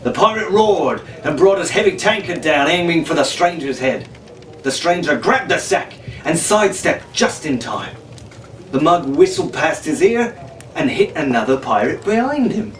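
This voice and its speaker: A man's voice: fairly high pitch, big pitch swings, moderate pace. A man narrating an adventure story in a dramatic, scripted audiobook style. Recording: roomy, distant mic, faint noise.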